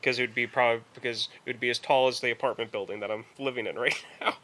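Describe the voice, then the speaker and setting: A man co-hosting a podcast in casual chat, rambling haltingly and repeating words as he goes. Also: medium pitch, steady tone, fairly bright tone. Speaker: podcast co-host.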